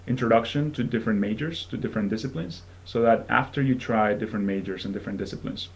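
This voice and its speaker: A man's voice: lowish voice, low-quality recording. A young man from Venezuela in his twenties, an admission fellow giving a friendly, conversational presentation to prospective students with frequent ums. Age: 20s.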